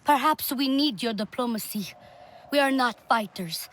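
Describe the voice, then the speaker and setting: Actress as bold alien warrior woman, higher voice, grave and formal, video game drama, ambience behind. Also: normal speed, fairly bright tone, a little hiss or hum, close to an everyday voice. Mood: worried, confiding. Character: worried but bold Na'vi huntress, alien warrior.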